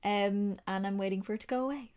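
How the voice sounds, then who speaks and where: Woman, beauty vlogger, casual and chatty, a touch nasal, mid-pitched. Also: fast talker, darkish tone, clear, resonant tone. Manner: chatty, rambling, with frequent ums and likes. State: slightly nasal from a sinus infection. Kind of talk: casual makeup tutorial chat.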